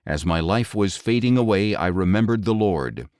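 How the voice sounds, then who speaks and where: A man's voice: fairly low pitch, lively intonation, moderate pace, darkish tone, smooth, no room sound, studio quality, close to an everyday voice. A man voicing a grave, resigned, devout prophet, solemn and earnest, in a scripture audiobook. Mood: solemn, prayerful. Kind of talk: dramatic audiobook scripture reading.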